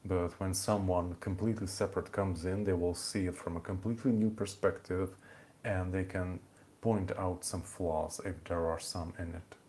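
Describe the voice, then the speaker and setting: Man, Hungarian accent, very deep voice, faint rumble behind, casual live-stream chat, lots of fillers. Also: steady tone, normal speed, a little mellow. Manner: rambling, many fillers and restarts. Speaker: channel host. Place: home in the evening.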